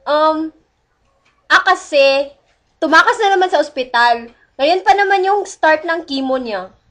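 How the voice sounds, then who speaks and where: A woman's voice: high voice, measured pace, fairly bright tone. A young woman playing a chatty, gossipy young coworker in an amateur radio drama. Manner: breezy, reassuring, gossipy.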